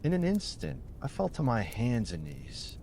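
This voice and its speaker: A man's voice: medium pitch, wildly swooping pitch, moderate pace, darkish tone, a little hiss or hum. A man voicing a wronged old man who tells his tale formally and earnestly in a bedtime storybook. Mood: earnest, wounded.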